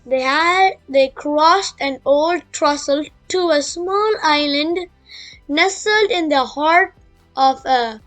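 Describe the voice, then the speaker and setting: High young female-sounding reader, slow halting read aloud, chatty, music behind. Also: a bit bright. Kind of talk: children's read-aloud with casual asides.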